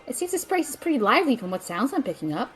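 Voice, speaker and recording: A woman's voice: sits high, big pitch swings, very fast, some chatter behind. A woman playing a witty, brainy magical sidekick, dry, teasing and precise, in a fantasy audio drama.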